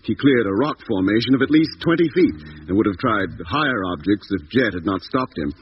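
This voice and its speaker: An actor playing a calm expedition doctor in a 1950s radio drama, speaking with a measured, precise delivery. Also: male voice, on the low side, natural voice. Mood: sober narration. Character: doctor on a lunar expedition, calm diary-keeping narrator. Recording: muffled, faintly noisy 1950s radio recording.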